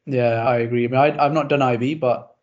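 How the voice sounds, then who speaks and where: A man's voice: medium pitch, fairly even intonation. Chatty young man, slightly muffled audio. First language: Urdu. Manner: chatty, self-deprecating, quick asides. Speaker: podcast host. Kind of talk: casual interview chat.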